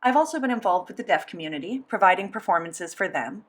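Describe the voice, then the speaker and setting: A woman at a middle pitch speaks as the voice of a signed interview. She speaks for both the interviewer and the guest in the first person. Her delivery stays measured and steady throughout. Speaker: sign language voice interpreter.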